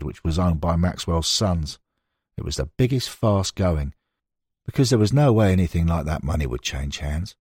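A man with a deep, slightly husky voice narrates a factual book. He reads in a measured storytelling manner, and the recording is close and dry. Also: a little mellow, no room sound. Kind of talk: scripted narration of a football history.

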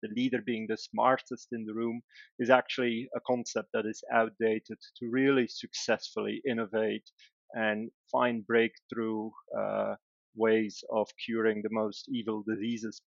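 A man from Belgium, a guest executive, giving thoughtful, explanatory interview answers with frequent pauses and filler sounds. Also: lowish voice, little pitch movement, vocal fry, no echo, dull audio.

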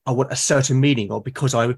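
A man's voice: medium pitch, steady tone, quick pace, vocal fry, breathy edge. A man in a conversational interview as a podcast guest, explaining his creative process and often repeating words as he thinks aloud. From Britain.

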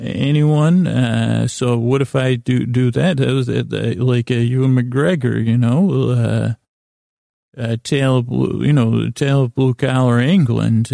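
Gravelly man rambling soothingly, very creaky. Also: medium pitch, dark tone, no room sound, studio quality. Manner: meandering, halting, stumbling; soothing, lulling, mildly silly. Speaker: podcast host. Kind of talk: rambling bedtime monologue.

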